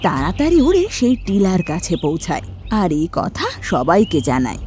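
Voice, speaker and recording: A woman narrating a children's cartoon story in an expressive storytelling way. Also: on the low side, moderate pace. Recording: children's music behind.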